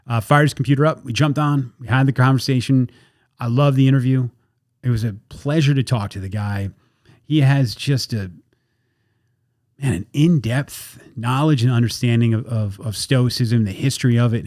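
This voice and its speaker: A man's voice: medium pitch, darkish tone, no room sound. Chatty man hosting a podcast, dry sound. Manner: chatty, halting, repeats words. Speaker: podcast host. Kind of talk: casual podcast intro and interview. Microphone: video call.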